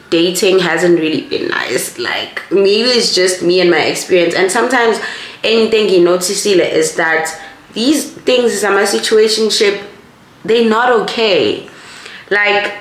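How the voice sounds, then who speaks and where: A woman's voice: mid-range voice, echoey room, low-quality recording. A woman in her mid twenties with a South African accent, chatting casually and rambling while doing her makeup for a vlog. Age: 20s. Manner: rambling, animated, mixes in local words. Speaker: vlogger. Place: home room, doing makeup.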